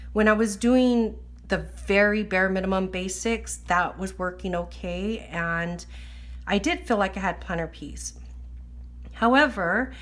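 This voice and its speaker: A woman chatting casually about her planner while recovering from an illness, her voice slightly wheezy, with lots of fillers. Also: mid-range voice. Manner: chatty, filler-heavy, apologetic. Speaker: planner vlogger. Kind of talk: casual chat. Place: at a table indoors.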